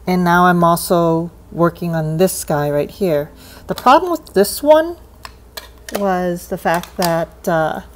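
Low-pitched woman talking casually. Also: darkish tone. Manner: hesitant, thinking aloud. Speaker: hobbyist demonstrating cassette tape repair. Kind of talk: casual unscripted hands-on demonstration. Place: indoors at a desk.

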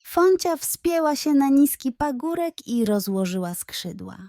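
Slow, dry-sounding woman storyteller. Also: high-pitched, sing-song, mellow, no room sound. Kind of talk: scripted narration of a children's bedtime story.

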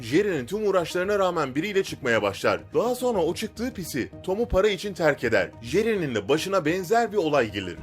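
Lively, higher-pitched male countdown narrator.

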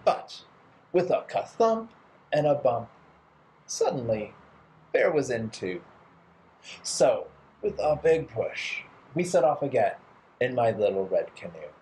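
A man in his thirties narrating a children's storytime playfully and theatrically, with deliberate phrasing. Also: medium pitch, big pitch swings, darkish tone, slight background noise, rough recording. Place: bright living room indoors.

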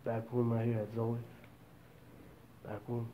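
Man, casual backstage vlogger, monotone delivery, repeated short phrases, muffled noisy phone audio. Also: medium pitch, a little slow. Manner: repeats short phrases, gives shout-outs. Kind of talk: casual handheld church backstage vlog. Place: church back rooms, indoors. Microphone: handheld phone.

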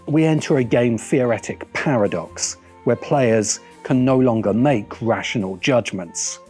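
A middle-aged man delivering scripted video essay commentary in a deliberate, wry manner. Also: medium pitch, a little mellow. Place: open-sided outdoor pavilion by a garden. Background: background music.

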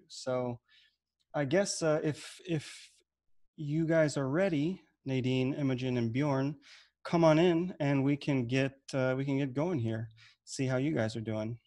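A man in his thirties hosts an online panel over a video call, speaking at an even, middling pitch. He talks in a loose, relaxed way, often repeating a word before going on. The sound is plain webcam audio from a room at home. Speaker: webinar host and moderator. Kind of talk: casual online panel moderation. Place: home room with shelves of gems.

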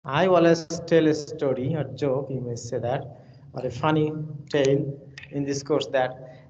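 A man with a Bangladeshi accent, English his second language, lectures a class over a video call. He rambles and repeats himself as he tells stories. His voice sits a little high, and the audio is dull and lo-fi with a faint hiss. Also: steady tone, measured pace, a little mellow. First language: Bengali. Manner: rambling, repetitive, friendly storytelling. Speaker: university lecturer. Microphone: computer mic on a video call.

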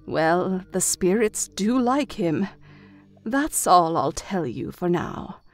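A woman playing a calm, knowing seer in a fantasy audio drama. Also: on the low side, takes it slowly, no room sound, faint music behind, full character voice.

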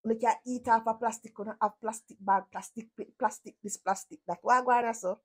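A woman's voice: on the high side, steady tone, fairly quick, a little mellow. Blunt Jamaican woman mocking a video. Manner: sarcastic, blunt, swears freely in patois. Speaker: reaction commentator. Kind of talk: mocking reaction commentary.

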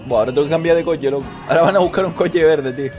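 A man's voice: a little high, very fast, a little mellow, faint music behind. A man chatting and commenting casually while gaming, brash and full of swearing. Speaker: streamer playing a roleplay game.

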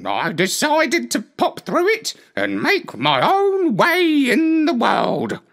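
A man's voice: extremely high pitch, wildly swooping pitch, slow, a bit bright, pitched above the performer's own voice, full character voice. A man voicing a prim, fussy female hen who clucks as she chats, for a children's storybook. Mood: indignant, chatty.

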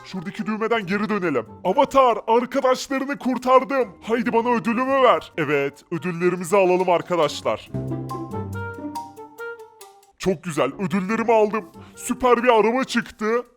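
A man's voice: sits high, expressive, normal speed, a bit bright, faint music behind. A man giving playful game commentary, exclaiming and talking through each move he makes. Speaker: gaming video player. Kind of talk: playful Minecraft adventure commentary.

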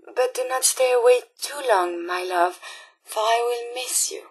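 Polite french-accented lady robot scientist from a video game, formal and earnest, highish voice, dry sound. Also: measured pace, slightly rough, no room sound, rough recording. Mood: tender. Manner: formal, precise, carefully worded. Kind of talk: video game character dialogue.

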